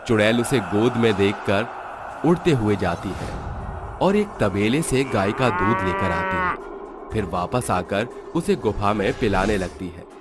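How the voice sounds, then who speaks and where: A man's voice: fairly low pitch, expressive, a little slow, noisy background. A man narrating a scripted Hindi cartoon story as its storyteller.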